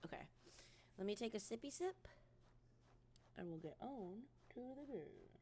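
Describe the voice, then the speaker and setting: A woman's voice: a little high, animated, a little slow, dark tone, a little hiss or hum. A young woman streaming, chatting casually with viewers in a rambling way with lots of ums, her voice recovering from a cold.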